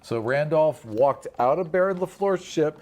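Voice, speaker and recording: A man's voice: fairly high pitch, lively intonation, normal speed, darkish tone. A man narrating a tabletop fantasy game as game master, in a conversational, casual way. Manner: conversational, casual, peppered with 'you know' and 'right'.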